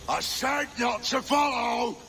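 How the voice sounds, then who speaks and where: A man playing a crazed, vengeful pirate castaway in a video game, taunting and ranting in rough, gravelly speech. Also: very high voice, level tone, takes it slowly, very noisy. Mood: angry, aggrieved. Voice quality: gravelly, creaky. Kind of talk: video game cutscene acting.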